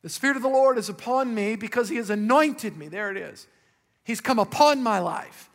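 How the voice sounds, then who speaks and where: A male pastor with a raspy voice preaching a sermon, calling out questions to the congregation with rising emphasis. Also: high-pitched, lively intonation, normal speed, big-room sound.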